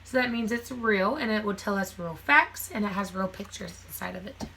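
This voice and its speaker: A woman's voice: mid-range voice, normal speed, gravelly, noisy background. A woman teacher reading a children's nonfiction book aloud, phrasing it simply for young listeners with casual asides.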